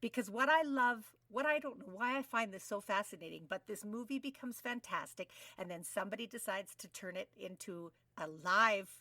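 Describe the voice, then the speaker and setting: A woman's voice: medium pitch, moderate pace, hardly any pauses, bright, forceful tone, dry recording, distant mic. A middle-aged woman co-hosting a podcast in a casual, chatty way. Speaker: podcast co-host. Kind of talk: casual chat.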